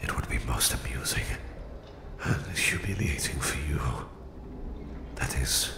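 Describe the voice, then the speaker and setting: Menacing russian supervillain for asmr roleplay, super deep gravelly half-whisper, cold and bossy, bit of echo. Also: male voice, expressive, noisy background, full character voice. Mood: cold threat. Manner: slow, deliberate, menacing, condescending. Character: menacing Soviet psychic supervillain, male, cold and domineering.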